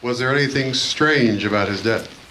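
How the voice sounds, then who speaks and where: A man acting in a live radio play as a calm, polite, authoritative adventure hero. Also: mid-range voice, normal speed, slight background noise, close to an everyday voice, rough recording. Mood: probing.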